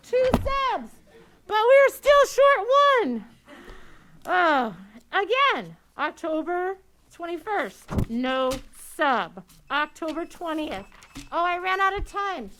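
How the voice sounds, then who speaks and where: A woman speaks at a podium microphone during a public meeting, reading out a list with exasperated, theatrical gasps and mock cheers. Her voice sits very high and swoops around, and she takes her time with each item. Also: big pitch swings, slow pace, fairly bright tone, slight background noise. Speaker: public commenter at a school board meeting.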